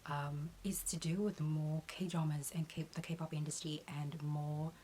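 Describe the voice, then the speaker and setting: A young woman with a low, steady voice speaks as a beauty creator answering viewer questions. She talks in an earnest, measured way, pausing with an occasional "um". A faint hiss sits under the recording. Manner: earnest, measured, uses "um" between points.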